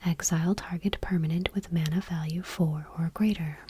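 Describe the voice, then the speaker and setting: A woman softly reading aloud for ASMR in a breathy, whispery voice with a hushed, gentle delivery. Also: fairly low pitch, fairly even intonation, moderate pace, slight background noise. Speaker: ASMR video host.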